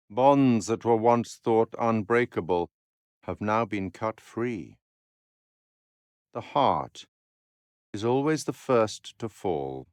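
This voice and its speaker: An actor playing a refined, sardonic and ominous male curator of macabre tales, narrating a horror game in a measured, dramatic, dryly mocking way. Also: lowish voice, no echo. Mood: wry, solemn. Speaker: narrator and host figure of a horror video game. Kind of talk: theatrical scripted game narration.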